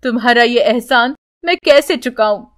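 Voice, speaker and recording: A woman's voice: on the high side, normal speed, close to an everyday voice. A woman voicing a grateful, loving old village grandfather in a storybook tale, tender and heartfelt. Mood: tearful gratitude.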